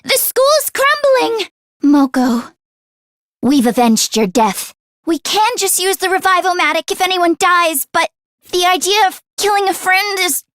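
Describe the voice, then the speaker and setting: A woman voicing an earnest, anxious teenage girl mechanic in an anime-style video game, expressive and shifting between timid and sassy. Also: very high-pitched, dry recording, studio quality, heavily acted. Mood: shocked, distressed, then grim, determined. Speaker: voice actress.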